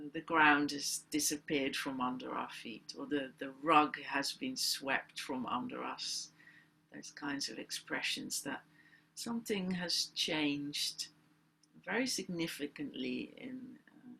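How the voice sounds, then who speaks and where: A woman leading a guided meditation as a teacher, speaking in gentle, deliberate phrases with long pauses. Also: low-pitched, vocal fry, husky, poor audio. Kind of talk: guided meditation, unscripted spoken instruction.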